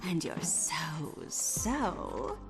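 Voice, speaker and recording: Casting: villain's adoring flatterer, gushing, lingering and lively delivery, music behind. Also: female voice, lowish voice, very slow, heavily acted. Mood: fawning. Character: fawning, gushing female villain's admirer. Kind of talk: animated video game cutscene acting.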